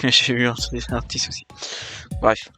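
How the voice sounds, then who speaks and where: A man's voice: mid-range voice, flat delivery, measured pace, sharp tone. A young man giving casual let's-play commentary, rambling and correcting himself as he chats to viewers. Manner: rambling, self-correcting, chatty. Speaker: gaming video creator. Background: game music.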